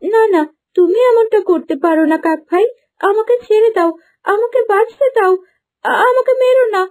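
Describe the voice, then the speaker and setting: A woman voicing a gentle young cartoon girl who pleads and cries for help. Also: very high-pitched, moderate pace. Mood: terrified. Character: gentle young girl turned into a lotus, frightened. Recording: music under the voice.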